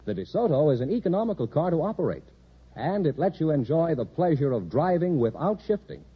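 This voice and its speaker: Vintage American commercial announcer, male, emphatic and polished, fairly high-set voice with a strong rasp. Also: sing-song, fairly quick. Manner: polished, emphatic sales delivery. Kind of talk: 1950s radio commercial announcing, scripted. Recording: muffled old radio broadcast.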